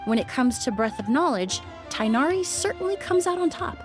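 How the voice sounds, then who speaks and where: A woman voicing a composed young perfumer in a video game, speaking in a measured, explanatory way. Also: sits high, big pitch swings. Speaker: perfumer with medical knowledge. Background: faint game music.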